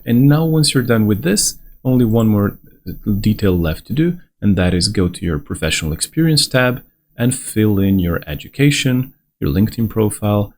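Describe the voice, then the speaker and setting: Lively low-voiced man guiding a walkthrough. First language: Slovenian. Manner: conversational step-by-step guiding, reassuring. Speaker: onboarding guide presenter. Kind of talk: screen-recorded software walkthrough.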